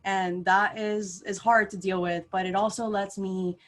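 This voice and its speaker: A woman's voice: medium pitch, level tone, unhurried. A young adult woman from Costa Rica, introducing herself eagerly on a panel over a video call, with frequent "like" fillers. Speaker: panelist, engineer.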